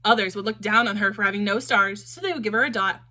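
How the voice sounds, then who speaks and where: A woman reading a children's storybook aloud in an expressive, animated storytelling manner. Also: mid-range voice, fast talker.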